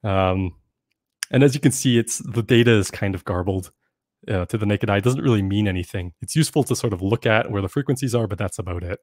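A young man giving a casual online workshop lesson, relaxed and full of filler words, speaking into a desk mic in a small room. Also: fairly low pitch, fairly quick, no echo, studio quality. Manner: relaxed, filler-heavy explaining with frequent "um". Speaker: workshop presenter. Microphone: desk mic on stand, webcam.

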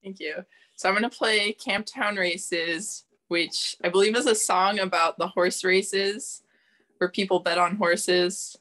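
A woman's voice: mid-range voice, steady tone, a little slow, fairly bright tone, slight background noise. A young woman on a video call, chatting casually and then singing a folk tune, strumming ukulele in a small room at home. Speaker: open mic performer. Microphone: webcam on video call. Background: her own ukulele playing.

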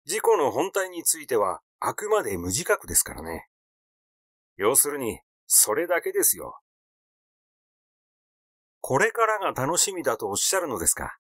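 A man's voice: mid-range voice, huge pitch swings, moderate pace, long pauses, fairly bright tone, no room sound, clean studio sound. A man voicing a flippant, gossiping young man in audiobook dialogue, glib and teasing.

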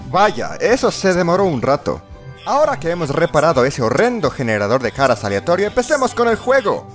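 Animated, high-pitched sarcastic man narrating. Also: a little fast. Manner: sarcastic, exaggerated, exclamatory. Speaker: fandub narrator. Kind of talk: scripted comedic parody narration. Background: music.

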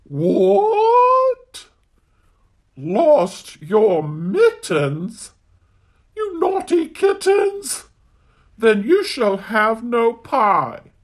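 A storyteller doing a shrill scolding mother cat. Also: huge pitch swings, drawn-out words, heavily acted.

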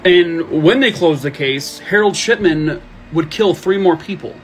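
A man's voice: a little high, unhurried, soft background music, poor audio. Sarcastic man telling true crime stories. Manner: sarcastic jokey asides. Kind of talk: casual true crime storytelling. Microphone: phone at arm's length.

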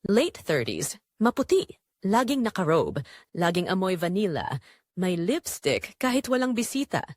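A woman's voice: medium pitch, big pitch swings, unhurried, dry recording, clean studio sound. A woman narrating a scripted first-person audio drama in short, dramatic, suggestive phrases.

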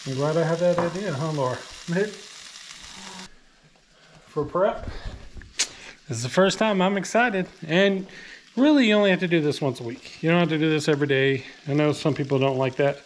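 A man's voice: a little high, normal speed, long pauses, a little hiss or hum, rough recording. Chatty man vlogging his meal prep. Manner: chatty, joking, self-deprecating, lots of fillers. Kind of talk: casual cooking vlog, talking to camera. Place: home kitchen, indoors.

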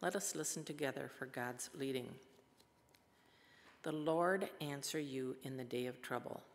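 An older woman reading scripture aloud at a church pulpit, steady and measured. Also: extremely low pitch. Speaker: scripture reader. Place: church sanctuary at wooden pulpit. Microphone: gooseneck pulpit mic. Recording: long hall reverb.

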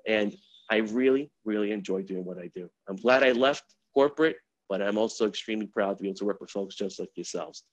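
A man's voice: fairly low pitch, a little fast, no room sound. A man who works in wealth management, giving an earnest prepared talk on a video call in short emphatic phrases. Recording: dry, slightly dull video call audio.

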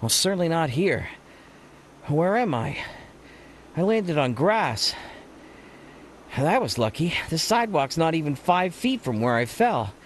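A man's voice: on the high side, very animated, unhurried, long pauses. Audio drama host role: male actor as wry, bewildered adventurer thinking aloud, swooping delivery, slight background noise. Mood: wry, puzzled. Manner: wry, talking to self. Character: flustered adventurer thinking aloud after escape. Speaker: actor hosting in character.

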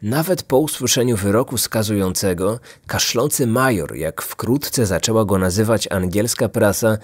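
A man narrating a scripted true-crime podcast as its host, measured and serious. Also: on the low side, lively intonation.

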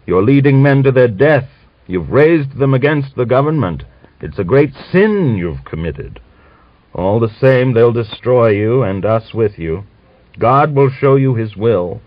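A man voicing a pious, bitter old man of nearly a hundred, who scolds and preaches and reads scripture slowly and solemnly. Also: fairly low pitch, sing-song, no room sound, pitched above the performer's own voice, full character voice. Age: older. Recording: dull dry recording.

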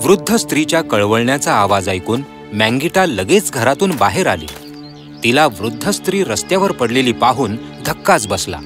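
Male narrator for children's fairy tale, expressive delivery, music bed. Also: medium pitch, normal speed. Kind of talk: scripted storybook narration.

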